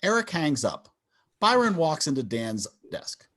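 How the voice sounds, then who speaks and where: A man's voice: fairly high pitch, big pitch swings, unhurried, fairly bright tone. A man reading stage directions as the narrator of a script. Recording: video call table read.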